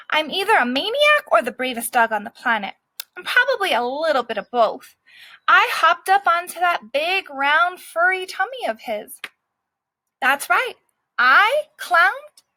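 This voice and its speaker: A woman's voice: high-pitched, very animated, measured pace, bright, forceful tone, dry recording, voice pushed up, full character voice. A woman reading as a brash street dog. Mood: cocky. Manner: brash, cocky storytelling. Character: scrappy streetwise male dog narrator.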